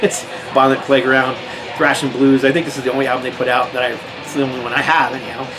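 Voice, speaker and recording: A man's voice: medium pitch, flat delivery, fast talker, music in the background, low-quality recording. An American man casually showing records from his collection, rambling with lots of fillers and restarts. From United States. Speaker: metal record collector vlogger. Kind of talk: casual record show-and-tell.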